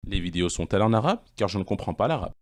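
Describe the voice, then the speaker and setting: A man presenting an online language course, reading questions and answers in a measured, explanatory way. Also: deep voice, animated.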